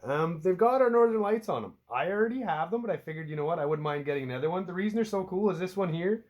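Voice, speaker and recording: A man from Canada talks to camera indoors at home, his voice stuffed up and congested from a cold. He rambles in a relaxed, chatty way, tossing in casual swears and jokes at his own expense. His voice sits a little higher than most men's. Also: fast. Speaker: outdoor hobby vlogger.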